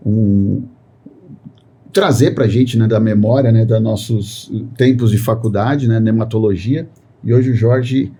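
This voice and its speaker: A man hosts a podcast in a loose, rambling way, tagging nearly every phrase with a filler question. His voice is low and dark, with a husky, rough grain. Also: measured pace. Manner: rambling, constant "né" tag fillers. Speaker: podcast host. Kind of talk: podcast conversation.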